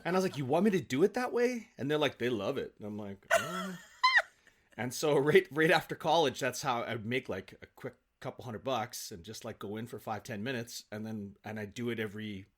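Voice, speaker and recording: A man talking as an interview guest, an actor who rambles excitedly and repeats himself for emphasis in casual chat. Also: medium pitch, moderate pace, a little hiss or hum.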